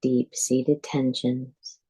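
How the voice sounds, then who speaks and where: A woman leads a guided meditation in a soft-edged, husky voice with a dark, relaxed tone. Her delivery is very slow, calm and deliberate. She gives instructions in short, measured phrases, leaving space between them. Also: a bass voice, rough recording. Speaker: yoga nidra teacher.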